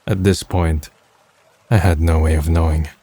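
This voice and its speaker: A man's voice: extremely low pitch, fairly even intonation, a little slow, dark tone. A man voicing a downcast, brooding young man who narrates his own story in a subdued, introspective way for an amateur manga dub. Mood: somber, introspective. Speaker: narrator and lead character.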